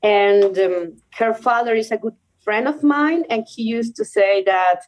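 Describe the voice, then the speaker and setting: Young Venezuelan woman, Spanish native speaker; relaxed interview chat, giggly, searches for words. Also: fairly high pitch, a little slow, low-quality recording. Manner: laughs often, restarts sentences in careful non-native English. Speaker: guest, rock climber.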